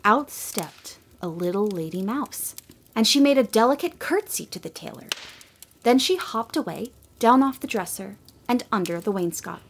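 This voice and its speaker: A woman narrating a storybook audio drama in a gentle, measured way. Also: medium pitch, lively intonation, creaky, slight background noise.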